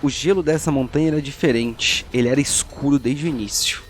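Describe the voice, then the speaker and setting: A Brazilian man narrating a tabletop game as game master, describing scenes in a measured way with deliberate pauses. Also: medium pitch. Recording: music behind.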